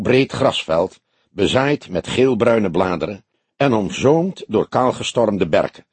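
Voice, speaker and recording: A man's voice: fairly low pitch, darkish tone, slightly rough, no echo. A man reading a detective novel as an audiobook narrator, in scripted storytelling style.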